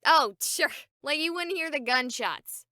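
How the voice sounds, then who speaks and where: High, animated, sarcastic young woman. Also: unhurried, no room sound, exaggerated voice. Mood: sarcastic, exasperated. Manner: sarcastic, wisecracking, dramatic. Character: sarcastic young woman ghost-hunting streamer, exasperated and nervy. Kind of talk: video game voice acting.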